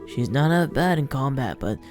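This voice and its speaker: A woman voicing a gruff, teasing young male fighter from a fantasy game, blunt and grudging in manner. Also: very deep voice, sing-song, moderate pace, a little mellow, faint music behind.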